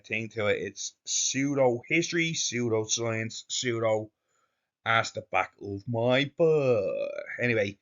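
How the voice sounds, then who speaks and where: Low-voiced hesitant man. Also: fairly bright tone, dull audio. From Ireland. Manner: hesitant, halting reading with restarts and wry asides. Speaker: channel host presenting slides. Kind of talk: reading slides aloud with commentary.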